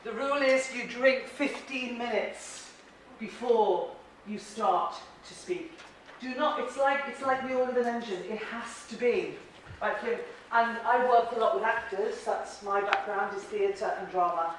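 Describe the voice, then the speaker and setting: A woman's voice: a little high, a little slow, a little hiss or hum. An Irish woman leading a presentation-skills workshop for a live group, chatty and encouraging, laughing often and asking the room questions. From Ireland. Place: large echoey training room. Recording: very echoey room audio, from a distance.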